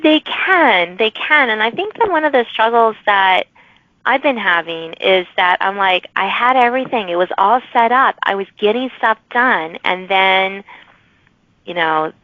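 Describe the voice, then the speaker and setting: A woman's voice: medium pitch, lively intonation, a little slow, dry recording. A woman hosting a podcast interview, chatting in a rambling way with many restarts. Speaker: podcast host. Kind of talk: casual interview chat. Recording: dry, dull lo-fi audio.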